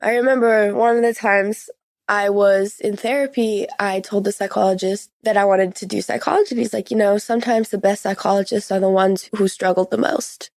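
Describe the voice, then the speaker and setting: A woman's voice: mid-range voice, steady tone, normal speed, vocal fry, darkish tone, no room sound, studio quality. A teenage young woman talking as a podcast guest, in casual, earnest, conversational phrasing. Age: teens. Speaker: podcast guest sharing personal experience. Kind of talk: conversational interview.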